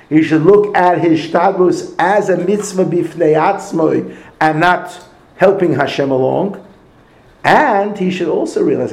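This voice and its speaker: Gravelly man giving a Torah lecture. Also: a little high, roomy sound, poor audio. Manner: conversational, animated storytelling with Yiddish-Hebrew terms. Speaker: Torah teacher.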